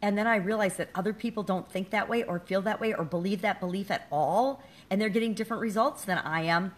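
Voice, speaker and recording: A middle-aged woman talking straight to her viewers in a casual motivational vlog. Her tone is candid and reflective, with a self-deprecating edge, and her voice sits at an ordinary mid pitch for a woman. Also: fast talker. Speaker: business coach and vlogger. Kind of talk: casual motivational talk to camera.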